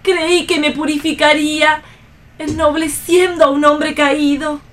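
Proud young bride, wounded, dramatic, emotive, fairly high voice, radio play. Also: female voice, flat delivery, a little slow, fairly bright tone. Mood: anguished. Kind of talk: staged reading of a stage play for radio.

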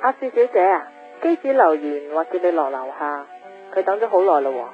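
A thin telephone line with faint music behind carries a female pager operator. She is businesslike, in a slightly low voice, as a radio drama role. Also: sing-song, brisk. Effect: telephone filter.

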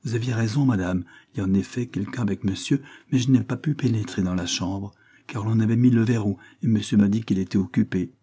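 A man's voice: lowish voice, a little fast, mellow, lightly acted. A man reading a deferential housemaid. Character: timid female housemaid.

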